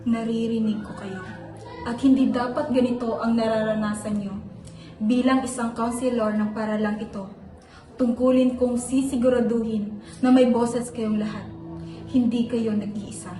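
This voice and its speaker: Woman acting as a calm school counselor, flat, even delivery, heard from a distance in an echoey room. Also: fairly high pitch, normal speed, natural voice. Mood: reassuring. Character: reassuring female school counselor. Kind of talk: amateur stage acting. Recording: distant, echoey, over music.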